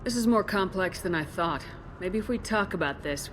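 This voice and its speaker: A woman playing a level-headed military commander in a video game, direct and measured as she questions and leads. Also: medium pitch, expressive, a little hiss or hum, lightly acted. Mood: questioning. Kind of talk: video game cutscene acting.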